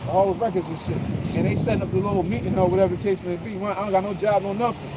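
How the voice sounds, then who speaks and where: A man's voice: high-pitched, fairly even intonation, rapid-fire. A man telling a personal story in casual street talk during a group discussion, full of "or whatever" asides. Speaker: discussion participant. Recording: loud wind noise outdoors.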